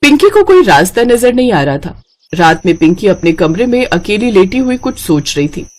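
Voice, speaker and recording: A woman's voice: mid-range voice, very animated, moderate pace, darkish tone. A woman narrator reading a scripted story for children.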